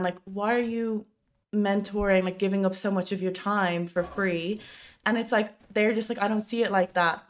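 A woman in casual podcast conversation, explaining things informally and thinking aloud as she goes. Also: mid-range voice. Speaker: podcast speaker, business owner.

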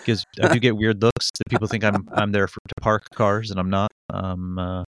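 A man's voice: fairly low pitch, flat delivery, moderate pace, fairly bright tone, clean tone, no room sound. A man in his forties chatting casually as a podcast co-host, rambling and self-mocking with many fillers and restarts. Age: 40s. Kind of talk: casual chat. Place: Connecticut. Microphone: studio microphone.

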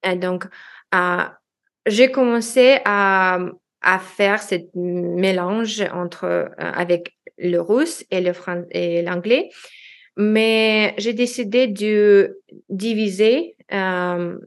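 A woman in her thirties with a Russian accent, her native tongue being Russian, speaks as a guest in a relaxed interview. She talks hesitantly, pausing on fillers and restarting her sentences as she searches for words. Her voice sits at a middling pitch. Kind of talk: casual interview chat in French.

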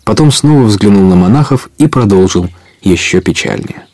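Low-voiced guy narrating an audio play, scripted storybook style. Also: lively intonation, moderate pace, a little mellow, no room sound.

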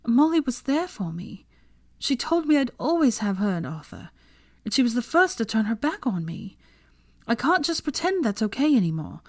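Woman playing a shrewd, resolute young woman, lively pitch. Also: moderate pace, dry recording, studio quality. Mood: feigned tearful.

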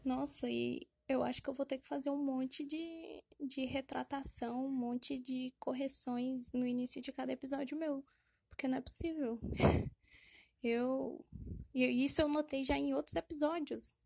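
A woman's voice: high voice, steady tone, normal speed, gravelly, mellow, dry recording. A woman hosting a casual solo podcast, rambling and correcting herself with lots of fillers, often laughing at herself. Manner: self-deprecating and laughing at herself. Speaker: podcast host.

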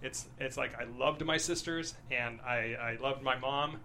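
A man, a screenwriter, talking earnestly and emphatically in a casual panel discussion, with halting restarts. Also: medium pitch, pushed and bright, a little hiss or hum, low-quality recording.

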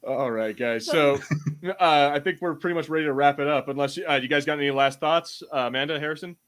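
A man's voice: on the high side, fast, a bit bright. A man hosting a podcast in casual, enthusiastic, informal fan chat. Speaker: podcast host.

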